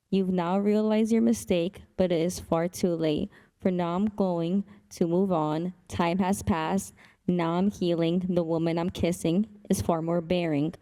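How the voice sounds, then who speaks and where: A teenage girl reading her poem aloud in a steady recital. Her voice is fairly low and warm. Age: teens. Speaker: student poet. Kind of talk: poetry reading.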